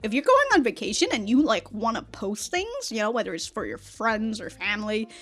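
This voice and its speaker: A woman giving casual, rambling reaction commentary in an animated, sweary manner. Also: a little high, fairly quick. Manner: rambling, animated, laughs through remarks, swears. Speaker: reaction video host. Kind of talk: casual commentary on an anime episode.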